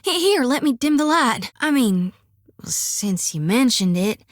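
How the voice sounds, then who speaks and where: A woman's voice: fairly high pitch, big pitch swings, a little slow, no room sound, studio quality. An actress voicing an earnest, devout young farm-raised woman priest, folksy and stubborn. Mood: flustered. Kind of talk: video game voice acting. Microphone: dry studio mic.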